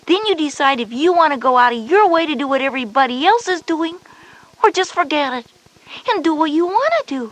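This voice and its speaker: A woman's voice: sits high, expressive, a little fast, dry recording. A woman playing a knowing, reassuring older sister of school age in a children's educational audio drama.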